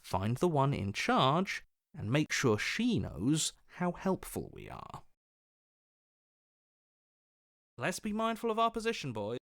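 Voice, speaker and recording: A man's voice: fairly high pitch, wildly swooping pitch, a little slow, gravelly, a bit bright, no room sound, clean studio sound, full character voice. A man voicing a sly, fawning opportunist in a video game, glib and ingratiating as he sides with whoever holds power. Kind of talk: dramatic video game voice acting.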